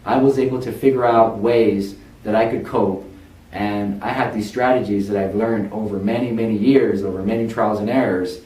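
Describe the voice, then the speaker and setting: A man's voice: fairly low pitch, fairly even intonation, moderate pace, dark tone. A man who runs a learning center, earnestly telling parents his own story about school and his stutter. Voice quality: says he stutters. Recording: echoey room, a bit far from mic.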